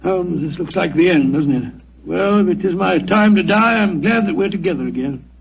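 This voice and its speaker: A man's voice: high-pitched, moderate pace, creaky, background noise. An actor playing an anxious, bluff male doctor companion in 1940s radio acting, flustered and stammering, over a dull old radio broadcast.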